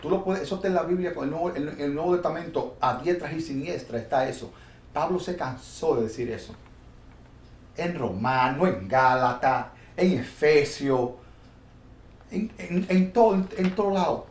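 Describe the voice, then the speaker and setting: A Dominican man with a Dominican Spanish accent and a fairly high voice preaches in a loose, animated way, stumbling and restarting as he goes. The sound is roomy and echoey, with a faint hiss of noise underneath. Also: moderate pace. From Dominican Republic. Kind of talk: informal Bible discussion. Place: New York.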